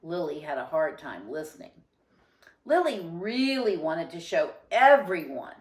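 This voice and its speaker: A woman narrates a children's picture book in a fairly low voice. She takes her time, phrasing each line with deliberate emphasis and expression. The recording has a noticeable room echo. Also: big pitch swings, distant mic. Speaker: storybook reader. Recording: roomy, echoing sound.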